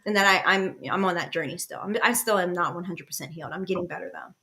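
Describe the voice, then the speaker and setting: A woman's voice: on the low side, quick pace, vocal fry, sharp tone. A woman in her late twenties hosting a casual podcast chat in a candid, personal way. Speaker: podcast host.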